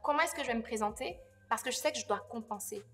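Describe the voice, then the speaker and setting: Higher-voiced woman in a reverberant room. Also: fast talker. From abroad, came to Quebec as an immigrant. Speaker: panel guest. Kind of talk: casual conversational explanation with anecdotes. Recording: reverberant room, music underneath.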